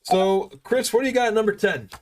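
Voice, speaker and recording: High-voiced chatty male podcast host. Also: a little fast, a little mellow, slight background noise. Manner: enthusiastic, chatty with fillers and restarts. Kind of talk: casual podcast chat.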